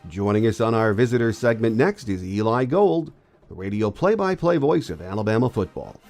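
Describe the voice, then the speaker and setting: A man's voice: lowish voice, animated, normal speed, darkish tone, soft background music. A man hosting a podcast, giving scripted sports commentary while congested with a cold. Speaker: podcast host.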